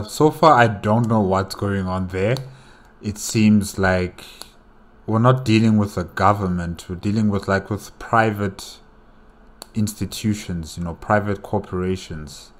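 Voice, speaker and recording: A man with a fairly low voice gives casual reaction commentary. He muses out loud and puts questions to himself as he goes. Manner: musing, questioning aloud. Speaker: reaction video host.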